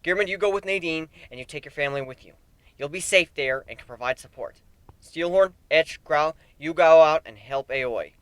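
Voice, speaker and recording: A man's voice: a little high, normal speed, a bit bright, clear, resonant tone, no echo, lightly acted. A man voicing a sarcastic, cocky male griffin warrior in a dramatic story reading, mocking and emphatic. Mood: commanding. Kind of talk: dramatic fan fiction reading. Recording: background music under the voice.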